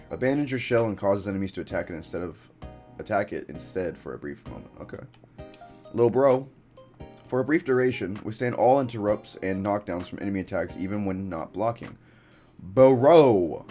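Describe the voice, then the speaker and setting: Gaming host, man, deep voice, laid-back commentary; light music underneath. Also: darkish tone. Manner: laid-back, reads item text aloud. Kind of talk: casual let's play commentary.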